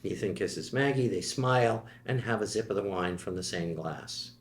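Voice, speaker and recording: A man reads the scene and action lines of a screenplay in a plain, steady way. His voice sits low, and the room gives it a noticeable echo. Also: moderate pace. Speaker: narrator reading stage directions.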